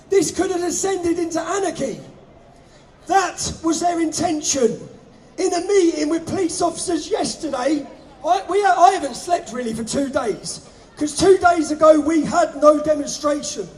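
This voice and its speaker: A man shouts a fiery rally speech from a stage, his voice pushed high and strained as he calls out over the crowd. A murmuring crowd fills the outdoor air behind him. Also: a little slow. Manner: shouting to a crowd, hoarse-edged. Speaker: rally organiser speaking on stage. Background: crowd noise.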